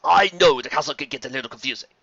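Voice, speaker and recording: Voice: mid-range voice, very fast, creaky, sharp tone, highs cut off. Reader as a polite unicorn tour guide. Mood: helpful. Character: friendly male unicorn tour guide, helpful.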